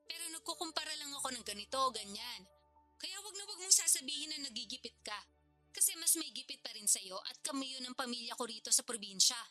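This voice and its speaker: A woman's voice: high-pitched, expressive, quick pace, pushed and bright, full character voice. A Filipino woman playing a pushy older sister from the province, sarcastic, complaining and wheedling in a radio drama. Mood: resentful, nagging. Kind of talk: Tagalog radio-drama phone dialogue. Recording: music bed behind the voice.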